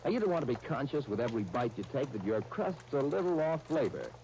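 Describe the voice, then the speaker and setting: A man's voice: medium pitch, big pitch swings, fast talker, mellow. A man with an American accent announcing in the sponsor style of 1930s radio. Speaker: radio announcer and show host. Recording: hissy 1939 radio broadcast disc.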